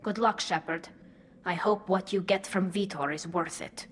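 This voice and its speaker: An actress playing an earnest, principled young alien engineer in a sci-fi game, speaking with passion. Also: female voice, fairly low pitch, moderate pace, a little hiss or hum, natural voice. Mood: resigned. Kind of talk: cinematic sci-fi game dialogue.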